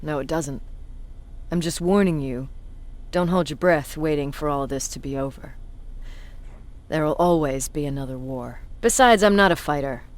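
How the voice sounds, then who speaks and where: Cynical resistance commander played by an actor, mid-range voice, deliberate, condescending, intimidating, video game drama. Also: mid-range voice, lightly acted. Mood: cynical, weary. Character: cynical, intimidating human resistance military commander, self-described bully. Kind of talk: video game cutscene acting, dramatic monologue.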